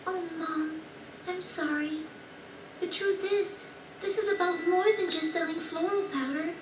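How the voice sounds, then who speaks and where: A woman playing a gentle, earnest young girl, sad but resolute, in a video game dub. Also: extremely high pitch, a little slow, noisy background. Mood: wistful, resolute. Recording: roomy game audio, distant, music over it.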